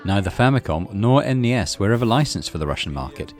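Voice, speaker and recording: A man's voice: on the low side, animated, fast talker. A man narrating a visual novel aloud as a gaming channel host, in a dramatic storytelling style. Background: music.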